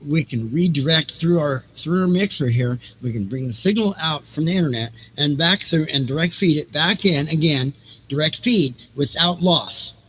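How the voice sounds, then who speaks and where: A man's voice: a little high, animated, moderate pace, a bit bright, slight background noise, low-quality recording. A man hosting a livestream in casual, improvised chat, rambling as he thinks aloud and repeats his phrases. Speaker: host of a livestreamed reading and music show.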